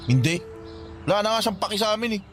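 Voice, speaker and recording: Makoy, a hurt teenage son. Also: male voice, high-pitched, animated, fast, a bit bright. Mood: bitter. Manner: pleading, resentful, polite to elders. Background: music.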